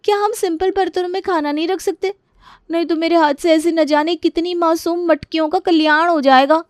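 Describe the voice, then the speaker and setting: A woman's voice: very high-pitched, steady tone, normal speed, no room sound, studio quality, voice pushed up. A woman voicing a young, clumsy, whiny daughter-in-law in a storybook tale, complaining and exclaiming dramatically. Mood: dismayed.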